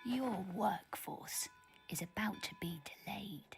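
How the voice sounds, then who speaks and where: A woman's voice: mid-range voice, slow, creaky, faint music behind. A woman playing a cool, commanding female adventurer, curt and self-assured, in a video game cutscene. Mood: cool, dry.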